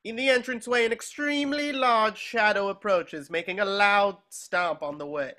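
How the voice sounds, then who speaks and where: Bright-voiced narrator reading stage directions. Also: medium pitch, normal speed. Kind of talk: scripted narration at table reading.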